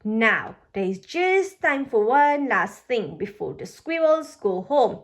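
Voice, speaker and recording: Female children's storybook reader, highish voice, very animated, unhurried delivery. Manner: deliberate, expressive delivery for young children. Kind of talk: children's read-aloud narration.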